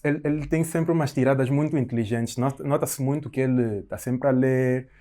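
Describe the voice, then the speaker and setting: Relaxed man with a dark, mellow voice. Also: mid-range voice, fairly quick. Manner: affirming, frequent agreement, relaxed. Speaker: podcast host. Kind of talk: casual chat.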